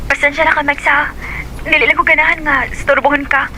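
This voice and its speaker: Curt defensive girlfriend, Cebuano accent, fast quick delivery, faint hiss. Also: high voice, flat delivery, bright, forceful tone. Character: young woman, distant and defensive girlfriend. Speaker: radio drama actress. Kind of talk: Cebuano radio drama acting.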